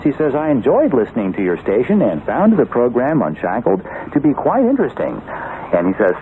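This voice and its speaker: An American male radio host presenting a listener mailbag, heard through a noisy 1980s shortwave recording. His pitch is middling but swings very widely, and his phrasing is measured and courteous. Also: a little mellow. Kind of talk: mailbag program presenting, reading listener letters.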